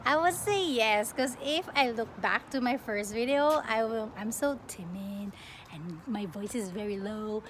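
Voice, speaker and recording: A young woman from the Philippines chatting casually as a plant vlogger, expressive and often breaking off mid-sentence. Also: fairly high pitch, measured pace. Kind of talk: casual get-to-know-me question-and-answer chat. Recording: clearly noisy home recording.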